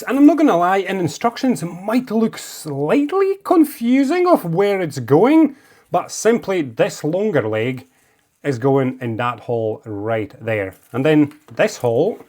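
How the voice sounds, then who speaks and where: A man from Latvia with a Latvian accent, chatty and encouraging as he talks through a model build step by step. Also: mid-range voice. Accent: Latvian, living in Scotland. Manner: chatty and encouraging, coaxing phrases. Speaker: model-building video host. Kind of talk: casual hands-on build tutorial.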